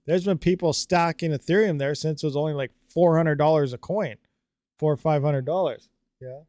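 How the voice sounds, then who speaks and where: A man's voice: fairly high pitch, normal speed, a little mellow, no room sound. A man hosting a live stream, chatting casually and rambling with lots of fillers while answering viewer comments. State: slightly dry throat. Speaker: livestream host.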